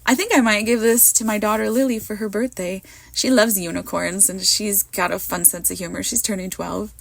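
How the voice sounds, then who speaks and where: A woman in her thirties or forties, hoarse from a cold, rambling in a chatty, self-mocking way as she narrates her card making at a craft table. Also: mid-range voice, steady tone, normal speed, fairly bright tone, no echo. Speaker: card maker. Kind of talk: casual crafting voiceover.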